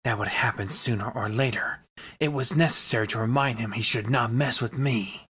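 A man voicing a brooding, aloof hedgehog anti-hero in a cartoon comic dub, terse, cold and deadpan, with gravel in the voice. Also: mid-range voice, normal speed. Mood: cold, curt. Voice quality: husky, gravelly. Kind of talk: fan comic dub acting.

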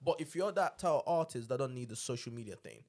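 A man's voice: medium pitch, expressive, quick pace, darkish tone. A man, chatting casually and emphatically as a podcast host, repeating himself in slang on a close studio mic. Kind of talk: casual chat. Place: small indoor podcast studio. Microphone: close studio mic on boom arm.

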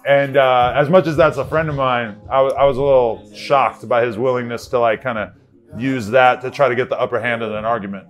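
A man's voice: medium pitch, steady tone, brisk, faint music behind. An American man in his thirties hosting an interview, asking casual, rambling questions in informal language. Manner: informal, rambling, profane phrasing. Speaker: podcast host. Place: seated at table before branded backdrop, indoors.

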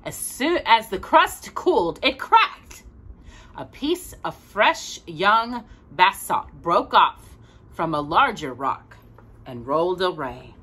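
Animated, bright-voiced woman reading a children's story. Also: fairly low pitch, breathy edge. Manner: expressive, deliberate, with emphatic word stresses. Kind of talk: animated read-aloud of a picture book to a child. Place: small indoor room with bookshelves.

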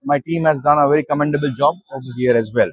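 Indian male exec, 30s, husky higher voice, hesitant product walkthrough at a busy expo stand. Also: fast, no echo. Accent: Indian English. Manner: hesitant, with many fillers and restarts. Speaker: managing director at a trade show. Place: busy exhibition hall stall.

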